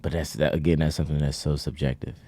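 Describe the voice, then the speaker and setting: A man's voice: rumbling low, flat delivery, fast talker, warm tone, dry recording. A man in his thirties talking in casual podcast chat, earnest and preachy in long winding runs. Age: 30s. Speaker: podcast co-host.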